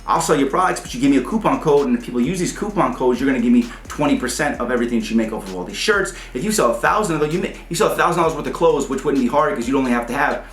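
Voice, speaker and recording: A man giving casual music business advice, fast and animated as he breaks down examples. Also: mid-range voice, echoey room. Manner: fast, animated, pointing things out. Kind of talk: casual advice talk to the camera.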